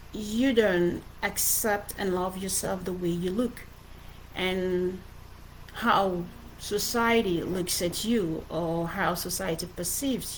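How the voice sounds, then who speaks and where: Earnest Cameroonian-accented woman, noisy recording. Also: mid-range voice. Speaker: author presenting her book. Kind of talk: informal motivational livestream talk.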